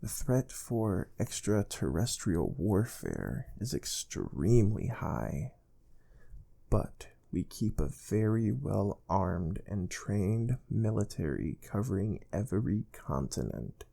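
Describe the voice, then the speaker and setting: A man playing a calm, soft-spoken space research team interviewer in an ASMR role play, explaining things gently and without hurry. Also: lowish voice, slow pace, creaky, exaggerated voice. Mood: calm, serious. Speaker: ASMR performer.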